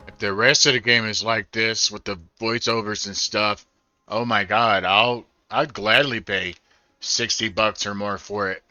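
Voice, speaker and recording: An older man gives casual commentary on a game he is playing. His voice is very bright and clear, and he speaks slowly. The recording is close but somewhat band-limited. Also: fairly low pitch, steady tone, no room sound, highs cut off. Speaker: gamer doing a first-time playthrough.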